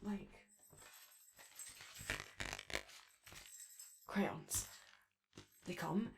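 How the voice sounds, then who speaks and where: An anxious, eager-to-please animatronic daycare attendant acted by a woman in an ASMR roleplay. The voice is slow and soft with a fry in it. The speech is hesitant and rambling, always keen to be friendly. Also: medium pitch, noisy background. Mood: gentle, rambling.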